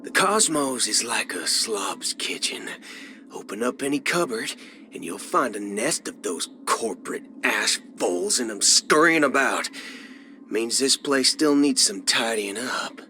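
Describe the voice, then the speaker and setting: An actor playing a cocky, folksy cyborg cowboy outlaw in a video game, with a Southern American drawl. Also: male voice, mid-range voice, expressive, unhurried, close to an everyday voice. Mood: wry, folksy. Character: swaggering cyborg cowboy gunslinger outlaw, adult male. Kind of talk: video game character voice lines. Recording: music under the voice. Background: background music.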